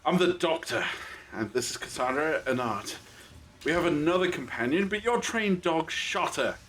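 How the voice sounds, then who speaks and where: An actor playing a quick-witted, sardonic time-traveling adventurer in an audio drama, questioning with emphatic indignation. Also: male voice, a little high, lively intonation, a bit bright. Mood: indignant.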